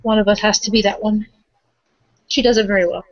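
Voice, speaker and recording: A woman acts out an earnest, reflective young woman in a tabletop game. She is calm and conciliatory, with a medium-pitched voice, on a dry, slightly muffled recording. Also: a little fast, dry recording, lightly acted. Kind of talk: tabletop roleplay in character.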